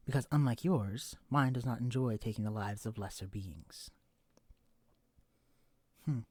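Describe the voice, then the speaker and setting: A man's voice: mid-range voice, a little mellow, no echo, close to an everyday voice. Man playing a stiff, pompous alien impostor. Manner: stilted formal diction, pompous and overly proper. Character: stiff, formal alien impostor posing as a husband. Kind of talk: scripted audio roleplay.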